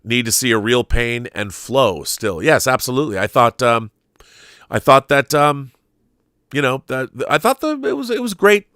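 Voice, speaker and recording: American man, talk host, bright forceful voice, dry close sound, halting and emphatic, conversational commentary. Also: medium pitch, lively intonation, clear, resonant tone, no echo. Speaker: show host reading viewer questions live. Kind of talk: conversational live-stream commentary, reading aloud and riffing.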